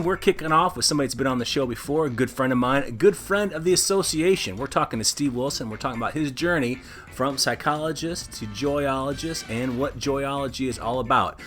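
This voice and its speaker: A man's voice: medium pitch, a bit bright. A man hosting a podcast in an upbeat, effusive way, introducing and chatting with his guest. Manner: enthusiastic, effusive. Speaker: podcast host.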